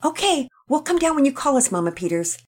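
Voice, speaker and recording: A woman voicing a cheerful, eager young girl in an audio story, speaking in a bubbly, excited way. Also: high-pitched, brisk, pitched above the performer's own voice.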